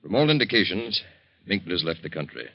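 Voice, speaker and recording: A police captain in a vintage radio thriller. His deep voice is polite, formal and matter-of-fact. The recording is old and dull. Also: big pitch swings, a little fast, full character voice. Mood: official, polite. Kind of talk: old-time radio drama acting.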